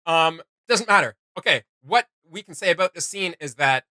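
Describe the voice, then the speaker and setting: Bright-voiced man rambling enthusiastically. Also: fairly high pitch, expressive, moderate pace, dry recording. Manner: enthusiastic, rambling, thinking aloud. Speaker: host of a reaction channel. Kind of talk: casual reaction commentary.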